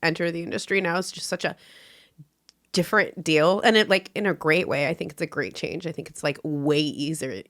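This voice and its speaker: Woman guest in her 30s, somewhat low voice, relaxed and laughs easily. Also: brisk. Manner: relaxed, laughs easily, finishes the host's sentences. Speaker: podcast guest. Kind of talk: casual chat.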